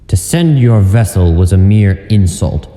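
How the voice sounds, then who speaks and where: A man plays a proud god with a very dark, low voice. He is imperious and scornful, speaking slowly in dramatic comic-dub style. His words ring through a reverberant hall. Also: lively intonation. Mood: cold scorn. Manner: imperious, contemptuous.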